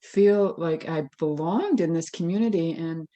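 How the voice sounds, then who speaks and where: A woman's voice: deep voice, a little slow, dark tone, highs cut off. A Scottish-born woman with an American accent, reflectively sharing a personal story over a video call. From Scotland. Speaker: congregation member. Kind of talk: casual sharing.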